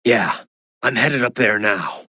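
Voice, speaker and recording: An actor, a man, playing a gruff, wry cyborg soldier in a video game, with a gravelly, raspy voice and a laconic, informal way of talking. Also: lowish voice, expressive, slow pace, sharp tone, exaggerated voice. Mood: wry, casual. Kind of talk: scripted video game voice acting.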